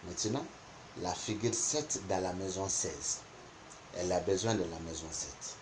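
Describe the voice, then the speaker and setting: A man whose first language is not French, teaching a spoken lesson on interpretation, deliberate and repeating points for emphasis. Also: deep voice, a little hiss or hum. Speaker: geomancy teacher.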